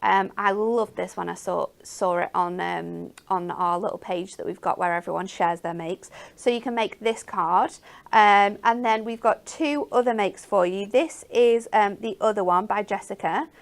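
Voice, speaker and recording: A woman with a gravelly, creaky edge presents craft products on a shopping channel. She sounds enthusiastic, with frequent ums and little restarts, at a medium pitch. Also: normal speed.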